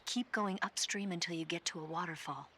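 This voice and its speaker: A woman playing a teasing female spy, low voice. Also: a little fast, a bit bright, long reverb tail, slight background noise, natural voice. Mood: urgent. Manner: teasing, playful. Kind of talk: video game cutscene acting.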